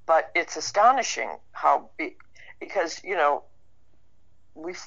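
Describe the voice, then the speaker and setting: A woman's voice: low voice, expressive, slow pace, creaky, fairly bright tone. A woman speaking casually and conversationally as a guest in an interview. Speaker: interview guest, actor and writer. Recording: dull band-limited radio call.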